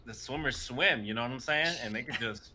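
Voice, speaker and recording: A man's voice: mid-range voice, brisk. A young man chatting casually in a group, informal and slangy. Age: young adult. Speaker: livestream panelist. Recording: noisy livestream mic with clicks.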